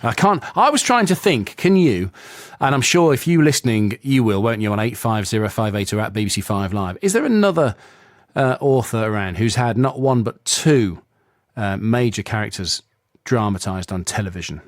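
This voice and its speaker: A man's voice: on the low side, expressive, normal speed, no room sound, studio quality. A man presenting a radio programme, interviewing a guest with chatty, enthusiastic and rambling questions. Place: radio studio.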